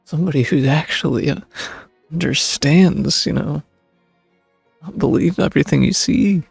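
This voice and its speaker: A woman streamer reacting casually to a game in a husky, gravelly voice. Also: sits low, normal speed, a little mellow, no room sound, faint music behind.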